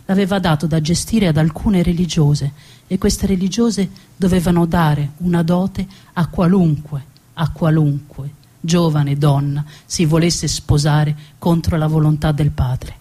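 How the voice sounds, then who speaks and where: A woman playing a proud, defiant noblewoman who tells her own story as a dramatic, deliberate theatrical monologue with emphatic repetitions. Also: low voice, fairly even intonation, mellow, husky. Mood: solemn, proud.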